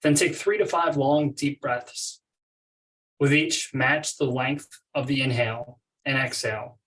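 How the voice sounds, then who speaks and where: A man's voice, medium in pitch, sits a little away from the microphone. He leads a guided meditation, giving scripted instructions in measured phrases with space between them. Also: fairly bright tone, distant mic, poor audio.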